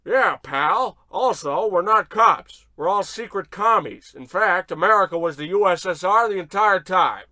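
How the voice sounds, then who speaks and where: Radio-play tough played by a man: frank, excitable, earnest, pitched high for comedy. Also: a little slow, few pauses, no room sound, heavily acted. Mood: matter-of-fact. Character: excitable, earnest goon or cop, comically frank. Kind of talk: old-time radio play comedy acting.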